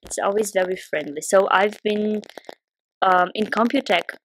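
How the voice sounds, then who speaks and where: A young Brazilian woman, a native Portuguese speaker with a Brazilian accent, chatting as a podcast guest and pausing often with ums and restarts. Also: medium pitch, dry recording, low-quality recording. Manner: hesitant, many ums and restarts. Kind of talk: casual interview chat.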